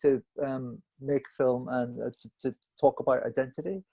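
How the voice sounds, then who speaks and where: Casting: male panellist, relaxed dark tone, mid pitch, halting reflective delivery. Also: creaky. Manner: halting, searching for words, stammered restarts. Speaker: film director on a panel.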